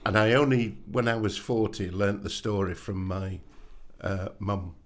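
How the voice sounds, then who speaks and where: A man in his seventies from England, a podcast guest telling stories in an unhurried way with dry wit. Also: lowish voice. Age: 70s. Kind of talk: casual interview chat.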